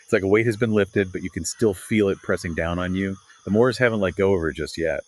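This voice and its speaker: A man's voice: deep voice, fast talker. A man co-hosting a podcast, discussing literature conversationally with an emphatic, dramatic delivery. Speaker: podcast co-host.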